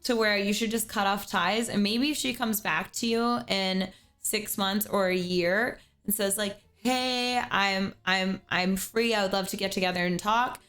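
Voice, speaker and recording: A woman's voice: mid-range voice, measured pace, fairly bright tone. A young woman hosting a livestream, giving a caller earnest advice in a casual chat and repeating words as she thinks. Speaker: streamer host giving advice.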